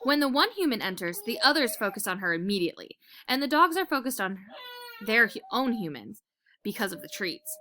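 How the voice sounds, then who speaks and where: A woman teacher reading a children's book aloud in a dramatic, expressive storytelling manner. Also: fairly high pitch, a little fast, a little hiss or hum.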